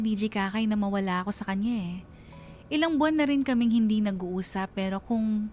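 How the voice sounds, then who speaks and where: Heartbroken storyteller, female actor, mid-pitched, confiding and wistful, soft music beneath radio drama narration. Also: close to an everyday voice. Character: heartbroken young woman narrating her love story. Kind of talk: scripted first-person radio drama narration in Tagalog.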